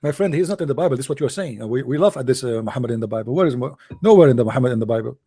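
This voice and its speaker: A man hosting a combative call-in debate, his voice tired and dry, who keeps saying "my friend" and often clears his throat. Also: medium pitch, lively intonation, rapid-fire, mellow. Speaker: host of an online call-in debate.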